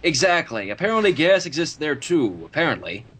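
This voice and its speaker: An expressive reader narrating a fan fiction story, with casual asides along the way. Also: mid-range voice, brisk, breathy edge.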